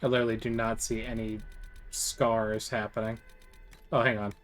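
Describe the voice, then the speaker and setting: A man with a booming voice giving casual live commentary as he plays a video game, thinking aloud as he goes. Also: flat delivery, moderate pace, a bit bright, faint music behind. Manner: chatty, thinks aloud while playing. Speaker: streamer.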